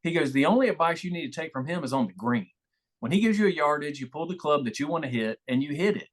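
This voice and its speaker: A man's voice: fairly high pitch, expressive, fast. A man chatting casually and telling stories as a guest on a podcast. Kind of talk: casual chat, storytelling.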